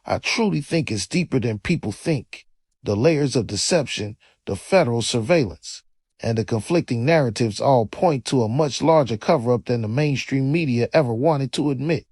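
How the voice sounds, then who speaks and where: A man's voice: mid-range voice, expressive, normal speed, a little mellow, no room sound, studio quality. A man giving scripted true-crime commentary as a video narrator, in an opinionated, slangy manner with some profanity. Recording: voice-over with faint music behind.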